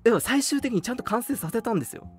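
A radio host chatting casually and telling stories in an animated, emphatic, colloquial way. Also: medium pitch, very fast. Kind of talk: casual chat, storytelling. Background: background music.

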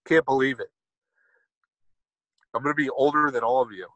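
A man's voice: mid-range voice, moderate pace, fairly bright tone, no room sound, rough recording. A man in his thirties bantering and rambling jokingly on a remote call. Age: 30s. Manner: joking, rambling, playfully boastful. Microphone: remote call, signal cutting out.

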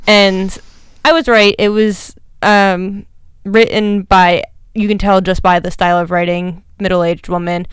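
Woman, 30, podcast cohost, super crisp clear voice, dry sound, blunt and chatty. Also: medium pitch, flat delivery, no echo. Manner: candid, emphatic, quick asides. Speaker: podcast co-host. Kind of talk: casual chat.

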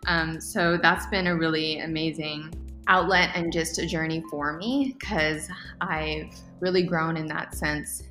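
A woman's voice: lowish voice, a bit bright. A young adult woman, a podcast guest, chatting in an upbeat, rambling way with fillers. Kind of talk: casual interview answers. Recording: roomy echo with faint music.

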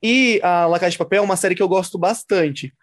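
A man's voice: high-pitched, quick pace. A man with a Brazilian accent chatting casually as a cast member on a show. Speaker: actor on the show. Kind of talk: casual chat.

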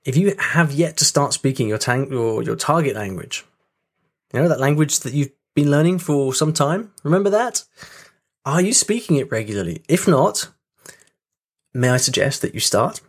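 A man hosts his podcast alone, rambling along in a chatty, easygoing way. His voice sits at an ordinary middle pitch for a man, and he often stops and restarts a thought. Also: lively intonation, moderate pace, husky. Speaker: podcast host. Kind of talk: casual solo podcast chat. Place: home room with rain on the windows.

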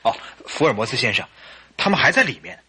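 An actor playing a tense, impatient, hot-tempered husband in a radio drama, urgent in manner and very raspy. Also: male voice, medium pitch, sing-song, fast talker, bright, forceful tone, heavily acted. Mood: anxious, impatient.

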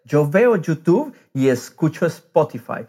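A man with a fairly high, husky voice talks casually as if on a podcast. His pitch swings widely and lively, and he slips English phrases into his sentences. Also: slow pace. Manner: drops English phrases into Spanish. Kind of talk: casual conversational podcast talk.

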